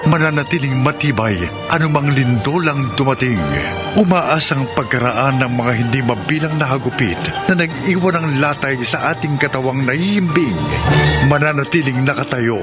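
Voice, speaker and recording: A man with a Filipino accent giving solemn, measured, dramatic scripted narration as a radio drama host. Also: mid-range voice. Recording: loud music under the voice.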